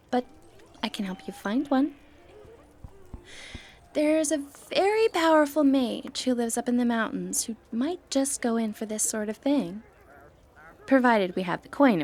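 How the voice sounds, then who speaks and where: A woman playing a sly, confident, flirty thief in a fantasy roleplay, playful and cheeky with breathy asides. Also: on the high side, darkish tone. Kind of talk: scripted fantasy ASMR roleplay, addressing the listener.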